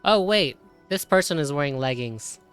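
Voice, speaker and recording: A woman's voice: low voice, lively intonation, normal speed, clean tone. A woman giving casual, playful live commentary on a game playthrough in slangy, reactive speech. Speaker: gaming content creator. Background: game music.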